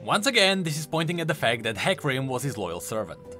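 A man's voice: medium pitch, sing-song, fast talker. A man giving enthusiastic, emphatic explanatory commentary on video game lore. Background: faint music.

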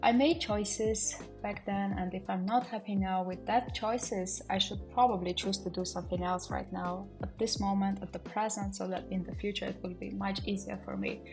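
A woman's voice: medium pitch, fairly even intonation, normal speed, hardly any pauses, over music. Chatty young woman cleaning her apartment. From Armenia. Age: mid-20s. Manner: chatty, a little nervous, reflective. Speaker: vlogger. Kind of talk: casual chat to camera while cleaning. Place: small apartment indoors. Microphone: camera on a stand, some lines away from it.

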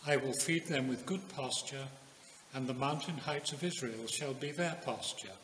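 Slow-reading elderly Englishman in echoey church. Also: medium pitch, fairly bright tone. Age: 70s. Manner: measured, deliberate phrasing. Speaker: scripture reader at a church service. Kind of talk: solemn scripture reading. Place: inside a stone village church. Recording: echoey stone church, audible room noise.

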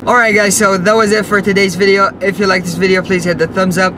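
A man's voice: high-pitched, level tone, quick pace. A young man hosting a car vlog, chatting step by step while he drives, recorded on a dashboard camera. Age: young adult. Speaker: car channel host. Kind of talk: casual vlog narration. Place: inside a car on the street. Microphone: camera mounted on the dashboard. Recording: road noise in a moving car. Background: car and road noise.

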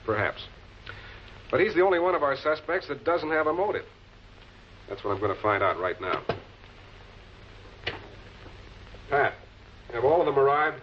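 An actor playing a composed, authoritative prosecutor in a 1940s television crime drama, speaking in a measured, deliberate, commanding way. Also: male voice, a little high, expressive, natural voice. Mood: reasoning. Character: composed, authoritative male public prosecutor cornering murder suspects. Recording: muffled, noisy old film soundtrack.

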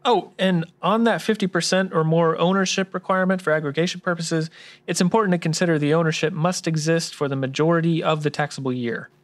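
Higher-pitched, by-the-book male expert acted by a man. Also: steady tone, fast talker, natural voice. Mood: earnest, precise. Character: earnest by-the-book male tax expert, polite detective type. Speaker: tax adviser character in a radio drama. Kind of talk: scripted noir radio drama dialogue.

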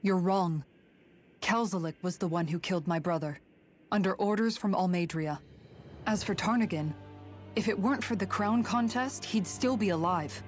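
A woman's voice: fairly low pitch, normal speed, soft background music, natural voice. An actress playing a firm, blunt yet compassionate young warrior woman, earnest and direct, in a dramatic video game.

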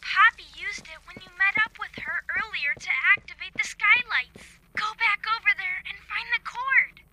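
A woman's voice: very high voice, normal speed. A woman voicing an eager, helpful young child in a video game, with a small childlike voice. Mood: helpful, urgent. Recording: very noisy game audio, clicks and hum.

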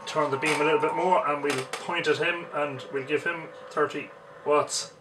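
An Irish man in his forties giving unhurried live commentary as he operates a radio at home, muttering to himself as he works. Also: on the high side, fairly even intonation. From Ireland. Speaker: amateur radio operator demonstrating. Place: small home radio room, indoors. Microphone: camera a couple of metres away. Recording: distant camera mic, loud background hiss.

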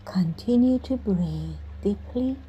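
A woman leading a scripted guided meditation in a soothing manner, giving instructions in short phrases with pauses between them. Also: mid-range voice, expressive, mellow, muffled recording. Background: music.

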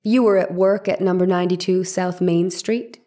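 A woman's voice: fairly low pitch, steady tone, normal speed, mellow, close to an everyday voice. A woman reading the part of a persistent, exacting defense lawyer in a dramatized 1890s trial, questioning formally and methodically. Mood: insistent, probing.

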